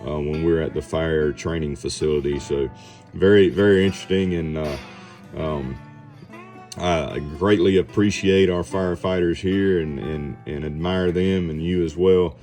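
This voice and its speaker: A very low-pitched man talks casually as an amateur radio operator. His manner is laid-back and folksy, and his tone is dark and mellow. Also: unhurried, faint music behind. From southeast Georgia, United States.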